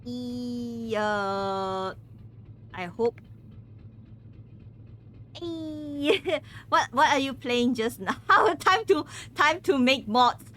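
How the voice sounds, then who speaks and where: A woman's voice: fairly high pitch, expressive, very slow, pauses often, fairly bright tone, a little hiss or hum. A woman from Malaysia, chatting casually with viewers on a game stream. She giggles often and stumbles over words.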